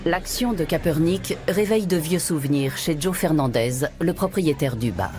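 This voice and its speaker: Woman, documentary narrator, deep voice, measured delivery, music behind. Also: expressive, a little mellow. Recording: voice-over over music.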